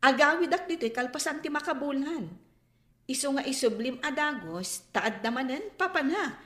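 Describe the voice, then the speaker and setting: An actress playing a well-off, hospitable but careful friend, with a Filipino Ilocano accent, in a radio comedy-drama. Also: female voice, sits high, lively intonation, moderate pace, a bit bright, echoey room. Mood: wary.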